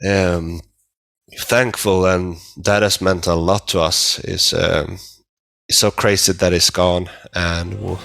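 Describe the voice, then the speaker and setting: Deep-voiced man, slow and halting. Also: level tone, gravelly, a bit bright. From Sweden. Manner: halting, searching for words. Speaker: band vocalist, interview guest. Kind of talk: casual interview.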